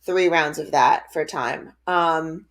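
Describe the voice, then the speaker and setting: Late-thirties woman, podcast co-host, slightly low voice, chatty and upbeat. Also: takes it slowly, vocal fry. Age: 30s. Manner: chatty, upbeat, laughs often. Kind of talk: casual chat.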